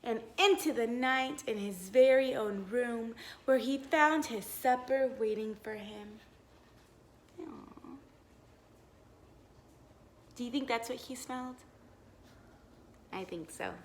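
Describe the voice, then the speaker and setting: A woman teacher reading a storybook aloud to young children in an expressive, encouraging way, pausing to ask them questions. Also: on the high side, slight background noise.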